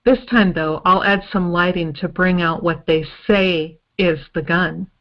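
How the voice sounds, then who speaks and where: A woman's voice: on the low side, steady tone. Woman playing a careful female investigator on a radio mystery, explains step by step, kinda dull lo-fi sound. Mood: explanatory, assured. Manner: deliberate, explanatory. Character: methodical female forensic photo investigator. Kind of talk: old time radio detective drama acting.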